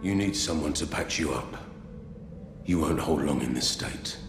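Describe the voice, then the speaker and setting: An actor playing a stern branded warrior in a fantasy game, blunt, terse and menacing, with a weighty voice. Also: male voice, normal speed. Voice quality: very deep, weighty. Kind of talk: fantasy game cutscene acting. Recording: roomy echo with music underneath.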